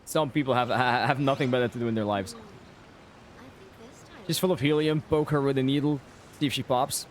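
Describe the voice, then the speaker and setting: A man's voice: mid-range voice, fairly even intonation, fairly quick, long pauses. A man streaming a game and chatting casually and wryly with viewers in short, informal reactions. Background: game music.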